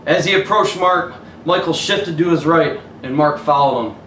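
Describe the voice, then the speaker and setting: A man reading a children's picture book aloud as a coach would, pausing to point out the pictures. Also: a little high, fairly bright tone. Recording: roomy, distant mic, faint hiss.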